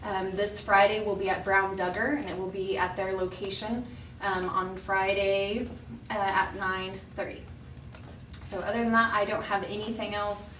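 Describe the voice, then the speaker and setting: A woman's voice: mid-range voice, normal speed. A woman giving a friendly, informal report at a public meeting, with frequent ums. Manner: friendly, many ums, laughs easily. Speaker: local business organization representative. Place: council chamber. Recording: echoey chamber, distant mic, steady background noise.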